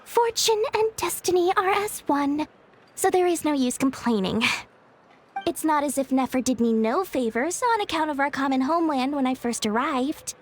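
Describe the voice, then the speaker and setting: A woman's voice: high-pitched, moderate pace, a little hiss or hum, natural voice. A woman voicing a theatrical, scheming small-time merchant woman in a video game. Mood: sly. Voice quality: sing-song, melodramatic, wheedling.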